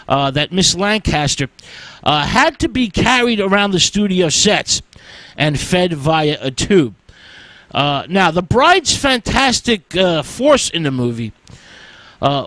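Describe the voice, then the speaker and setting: A man hosting a radio segment on film history, talking slowly with frequent "uh" fillers and halting pauses. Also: high-pitched, a bit bright, no room sound, rough recording. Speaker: radio host.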